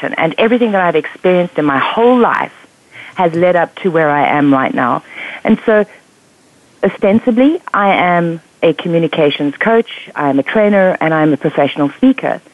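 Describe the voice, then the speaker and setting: A British woman with a slightly croaky voice speaks thoughtfully and articulately. She comes through a thin, tinny phone line. The sound is dry, with no room around it. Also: on the low side, no room sound. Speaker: guest, communications coach. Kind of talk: radio interview over the phone.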